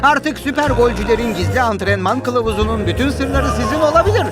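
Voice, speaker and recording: A man's voice: very high voice, animated, brisk, over loud music. A man playing a scheming, gloating villain in a cartoon, with theatrical salesman-like patter. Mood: commanding, smug. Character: scheming, gloating male villain who hypnotizes footballers. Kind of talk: cartoon dubbing.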